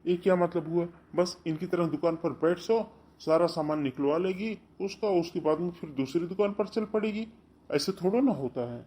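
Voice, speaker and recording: A man's voice: high voice, normal speed, mellow, breathy edge. A man voicing an impatient young husband in a cartoon who speaks brusquely. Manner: brusque, irritated. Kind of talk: cartoon voice acting.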